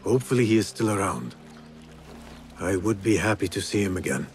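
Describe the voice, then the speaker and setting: An actor playing a young former street thief turned assassin in a video game, speaking in an earnest, measured, courteous way. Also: male voice, fairly low pitch, animated, faint music behind, natural voice. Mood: earnest, reflective. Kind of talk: video game character acting.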